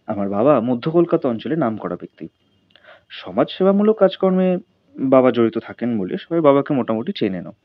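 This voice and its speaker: Expressive storyteller, husky male voice. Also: medium pitch, fairly quick, no echo, dull audio. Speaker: story narrator. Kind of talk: dramatic scripted audio story narration.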